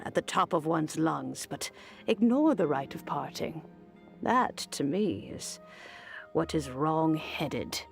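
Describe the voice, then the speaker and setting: A woman voicing a kindly, wise old granny in a video game, with measured, old-fashioned phrasing and a creaky elderly voice. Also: low voice, exaggerated voice. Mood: calm, firm. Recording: game audio with faint music behind.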